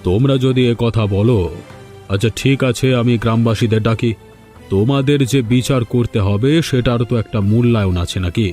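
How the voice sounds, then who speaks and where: A man's voice: mid-range voice, brisk, dark tone, natural voice. A man voicing a stern, domineering village landlord in a cartoon, speaking in a commanding, menacing way. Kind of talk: cartoon voice acting. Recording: music behind the voice.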